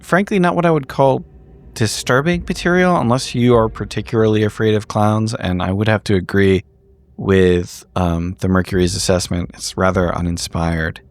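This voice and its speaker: A man's voice: fairly low pitch, steady tone, normal speed. A man playing a tabletop roleplaying game, talking in character in a dry, understated, hesitant way.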